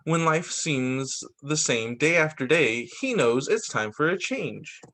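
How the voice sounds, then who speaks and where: A man with a fairly high voice reads a picture book to children in a teacher's story-time style. His pitch swings widely as he goes, with expressive, deliberate emphasis and occasional restarts. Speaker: teacher reading picture books.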